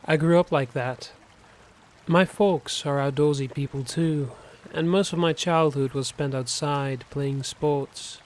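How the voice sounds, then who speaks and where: Friendly young male pool worker actor, lighter pitch, casual drama dialogue, faint watery background noise. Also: steady tone, unhurried, a little mellow, natural voice. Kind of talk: audio drama acting.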